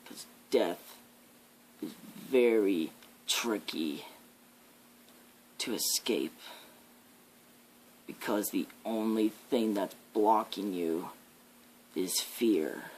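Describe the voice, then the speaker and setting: A man voicing a menacing devil in an ASMR horror roleplay, with an ominous, drawn-out, theatrical delivery. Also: on the low side, a little mellow, a little hiss or hum. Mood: brooding menace. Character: menacing devil, a living nightmare preaching fear and death.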